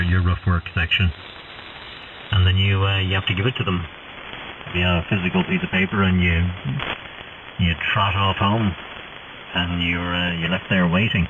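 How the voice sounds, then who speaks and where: Middle aged guy rambling on ham radio, low voice, thin narrow band sound. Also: far from the mic. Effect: shortwave radio transmission. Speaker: amateur radio operator. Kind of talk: casual radio chat. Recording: muffled, narrow-band radio with clear static hiss.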